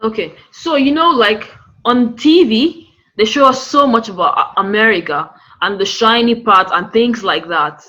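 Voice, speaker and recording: A woman hosts and interviews with a bright, forceful tone and friendly, chatty questions. The recording is somewhat dull and lo-fi. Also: fairly high pitch, normal speed. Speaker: channel host, interviewer.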